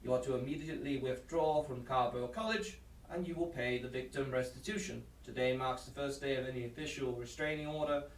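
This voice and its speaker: Distant, roomy sound: male actor as solemn judge, sixties, formal deliberate courtroom delivery, audio drama. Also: mid-range voice, steady tone, close to an everyday voice. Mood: stern, official. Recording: echoey, distant, background noise.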